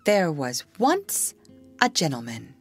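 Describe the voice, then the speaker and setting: A woman voicing a young girl who tells a bedtime story to a crowd of boys in a gentle, motherly tone. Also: sits low, huge pitch swings, slow, husky, faint music behind, exaggerated voice. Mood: storytelling.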